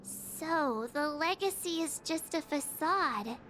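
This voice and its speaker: Very high, perky girl. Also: animated, slow, background noise. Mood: doubtful. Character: perky, girlish, spirited young female adventurer. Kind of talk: scripted game dialogue.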